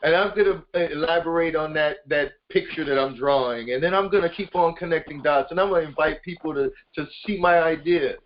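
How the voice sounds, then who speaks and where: A man's voice: fairly high pitch, brisk, hardly any pauses, a little hiss or hum. A man hosting a casual motivational group call, speaking informally and hyping up his listeners directly. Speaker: conference call host. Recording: muffled lo-fi conference call.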